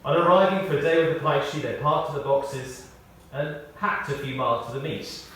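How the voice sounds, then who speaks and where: A man reading a prepared tribute aloud in short, measured phrases, with wry storytelling. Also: on the high side, fairly bright tone. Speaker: tribute speaker. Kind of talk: read-out memorial tribute with anecdotes. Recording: echoey hall, distant, faint noise.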